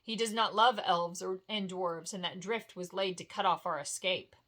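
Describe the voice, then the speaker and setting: Indignant male dwarf for a storybook, read by a woman: gruff, emphatic, growling manner, bright edge. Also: lowish voice, moderate pace. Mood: grumbling.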